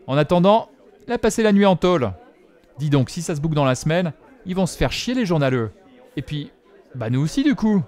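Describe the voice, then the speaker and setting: A man's voice: on the high side, very animated, brisk, long pauses, darkish tone. An actor playing a chatty, mocking male bar regular who comments on the news in colloquial slang in an audio drama. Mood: mocking gossip. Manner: colloquial slang, mocking, tags sentences with questions. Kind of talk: audio fiction acting.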